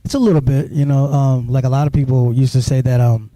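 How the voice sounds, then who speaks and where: A man from Sudan tells his story in a very dark, mellow voice, stumbling over restarts and leaning on "you know" as he goes. The sound is dry and close, with no room around it. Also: mid-range voice, steady tone, fast, no echo. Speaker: guest. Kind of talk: personal storytelling interview.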